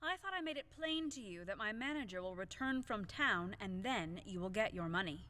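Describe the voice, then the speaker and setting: A woman's voice: mid-range voice, animated, normal speed, pushed and bright. An actress playing a proud, formal widowed lady, firm and indignant, in an audio play comedy.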